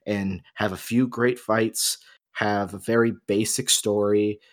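A man's voice: on the low side, steady tone, slow pace. A man co-hosting a casual discussion, explaining his opinions emphatically. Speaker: podcast co-host.

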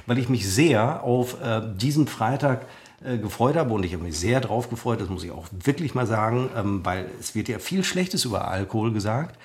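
A man's voice: mid-range voice, expressive, normal speed. Rambling German man chatting casually. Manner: rambling, self-deprecating, hesitant with many fillers. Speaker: podcast co-host. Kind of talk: casual chat.

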